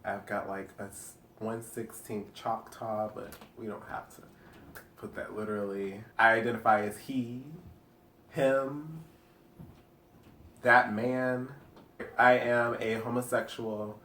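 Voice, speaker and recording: A man in his twenties answering interview questions candidly and wryly, often trailing off softly. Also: fairly low pitch, steady tone, slow pace, slight background noise. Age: 20s. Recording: roomy echo, from a distance.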